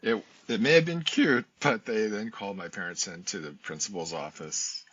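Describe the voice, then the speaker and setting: A man's voice: fairly low pitch, moderate pace, a bit bright, husky. A man in his sixties, a guest scholar from the United States, telling his story in an interview in a reflective way, with many ums. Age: 60s. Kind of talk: interview conversation. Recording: dull lo-fi audio, faint hiss.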